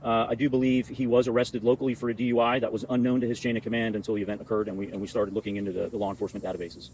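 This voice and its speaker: An American man, an army general, giving formal, halting answers with repeated words at a press conference. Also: on the low side, little pitch movement, darkish tone, slight background noise, poor audio.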